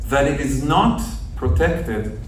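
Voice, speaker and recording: A man, a young adult journalist, explains things to a group in an earnest, measured way. He is heard from a distance, his words washing around in strong echo. Faint noise sits underneath. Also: mid-range voice, lively intonation. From northern Israel. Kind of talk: storytelling talk to visitors. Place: outdoors at a kibbutz near the Gaza border. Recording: very echoey, distant outdoor recording with faint noise.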